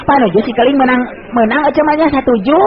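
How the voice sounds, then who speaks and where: A man's voice: extremely high pitch, fairly quick, dull audio, voice pushed up. A male storyteller voicing a brash, boastful teenage boy fighter in an old radio tale, cocky and whiny. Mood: chatty.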